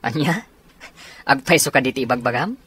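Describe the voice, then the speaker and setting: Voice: mid-range voice, lively intonation, a little fast, fairly bright tone, husky. An actor with an Ilocano accent playing a male soldier, a supportive buddy, in a radio drama. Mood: concerned, questioning.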